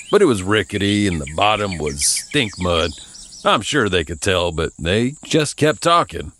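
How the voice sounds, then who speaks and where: An American man from Virginia narrating a first-person story in a folksy, wry, expressive way. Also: on the low side, slight background noise. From Virginia, United States.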